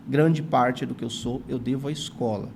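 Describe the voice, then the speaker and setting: Earnest gravelly man from São Paulo. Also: mid-range voice, steady tone, normal speed, a little mellow, a little hiss or hum. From São Paulo, Brazil. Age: 40s. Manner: earnest, grateful, many "não é" tags. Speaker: guest, retired teacher and writer. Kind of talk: reflective storytelling in an interview.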